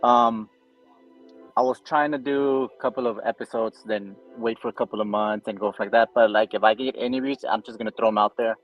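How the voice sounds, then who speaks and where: A man's voice: on the low side, steady tone, fairly quick, dull audio, soft background music. A man from Guatemala, a podcast guest talking earnestly and openly in casual conversation. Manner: earnest, open about personal struggles.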